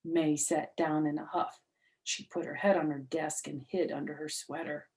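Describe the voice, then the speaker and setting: Expressive woman reading a children's story. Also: deep voice, moderate pace. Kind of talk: animated read-aloud with asides to listeners.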